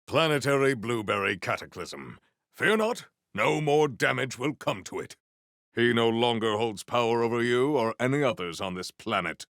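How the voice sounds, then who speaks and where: Game hero, stern proud warrior: gravelly, formal, theatrical delivery that swings widely in pitch. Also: male voice, big pitch swings, normal speed, fairly bright tone, no room sound, clean studio sound. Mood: triumphant, bold. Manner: formal, grandiose, theatrical declarations. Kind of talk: video game character voice lines.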